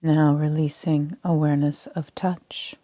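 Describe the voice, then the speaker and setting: A woman leading a sleep meditation as a yoga nidra instructor, speaking in a soft, half-whispered, calm and soothing way. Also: low-pitched, unhurried, no room sound.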